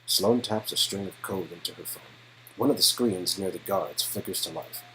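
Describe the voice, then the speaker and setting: A man's voice: sits low, normal speed, fairly bright tone, a little hiss or hum, low-quality recording. A man reading interactive game narration aloud in a scripted, second-person storytelling style.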